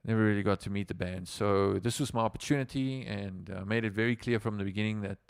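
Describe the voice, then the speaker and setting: Gravelly South African man, rambling. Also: fairly low pitch, steady tone, fast talker, dry recording, studio quality. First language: Afrikaans. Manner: rambling, with many fillers and restarts. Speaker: musician. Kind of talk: casual livestream storytelling.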